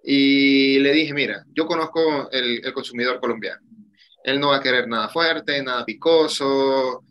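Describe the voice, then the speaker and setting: A man's voice: medium pitch, moderate pace, highs cut off. A man in his thirties, a cigar shop owner, talking casually over a video call from a small room, drawing out his phrases with many fillers. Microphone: webcam on a video call.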